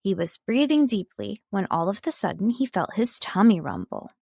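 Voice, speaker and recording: A woman reading scripted story narration as an audiobook narrator, with expressive, dramatic storytelling. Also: medium pitch, normal speed, creaky, husky, no room sound, studio quality. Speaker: audiobook narrator, voice-over artist.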